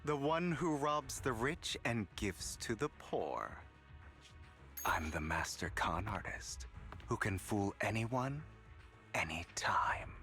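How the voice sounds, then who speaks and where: Theatrical, proud young master thief. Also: male voice, fairly low pitch, sharp tone, exaggerated voice. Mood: grandiose, mocking. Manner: theatrical, dramatic delivery. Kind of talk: video game voice acting.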